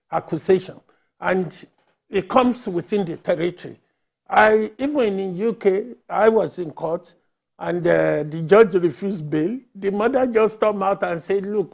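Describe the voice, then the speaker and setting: A man's voice: high voice, vocal fry, darkish tone, husky. A man speaking as a lawyer on a discussion panel, explaining his points. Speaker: lawyer guest.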